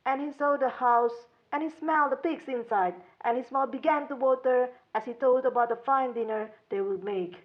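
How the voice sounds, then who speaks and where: High-pitched woman telling a children's story. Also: normal speed, few pauses. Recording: dull lo-fi sound.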